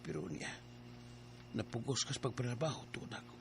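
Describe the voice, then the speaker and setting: A man's voice: medium pitch, steady tone, a little fast, gravelly, background noise, natural voice. An actor with a Filipino Cebuano accent playing a loving, ailing, apologetic father in an audio drama. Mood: weary, apologetic.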